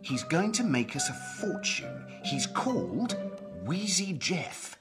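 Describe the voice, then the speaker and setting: Animated henpecked pantomime baron. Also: male voice, fairly high pitch, takes it slowly, music in the background. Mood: excited. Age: middle-aged. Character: excitable, henpecked pantomime baron with money problems. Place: small room at home.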